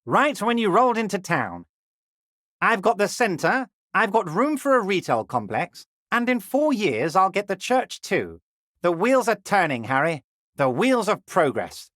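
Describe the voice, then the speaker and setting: A scheming heavyset union boss with oily, honeyed charm, his pitch rolling up and down in lively swings. He talks with smug self-satisfaction and an edge of menace. The recording is close and dry. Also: a little slow, dry recording, full character voice. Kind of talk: video game voice acting.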